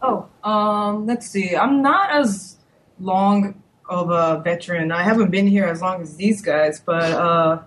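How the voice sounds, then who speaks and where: A woman, a guest editor, chatting casually and chiming in with quick remarks. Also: fairly low pitch, low-quality recording. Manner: quick interjections, laughing along. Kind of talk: casual chat.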